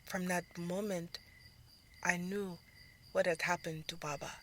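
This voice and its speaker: A woman playing an earnest, wistful young belly dancer recounting her life in a fantasy audio drama, speaking in a measured way. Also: on the low side, steady tone, a bit bright, a little hiss or hum. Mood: wistful, reminiscing.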